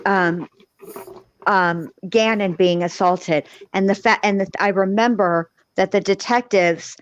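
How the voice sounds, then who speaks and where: A woman talking casually and emphatically as a true-crime livestream panelist, with salty, colloquial wording. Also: mid-range voice. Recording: dull, echoey livestream audio.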